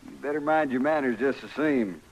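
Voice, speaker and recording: An actor playing a folksy, plain-spoken frontier stable keeper in an old radio western, drawling in a rustic American accent. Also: male voice, mid-range voice, fairly quick, highs cut off, lightly acted. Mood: folksy, teasing. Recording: noisy old radio recording.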